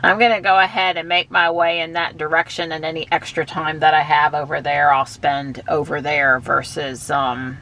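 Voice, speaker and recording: A woman's voice: low-pitched, level tone, moderate pace, hardly any pauses, low-quality recording. Stuffy-nosed woman chatting while driving. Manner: chatty, rambling, thinks out loud. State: stuffy nose from allergies. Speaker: vlogger. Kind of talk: casual thinking aloud while driving. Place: inside a car while driving.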